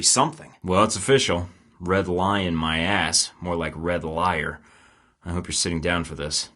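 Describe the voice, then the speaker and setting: A wisecracking secret agent. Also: male voice, deep voice, pushed and bright. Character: wisecracking young male secret agent, cocky. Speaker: video game protagonist. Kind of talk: game voice lines.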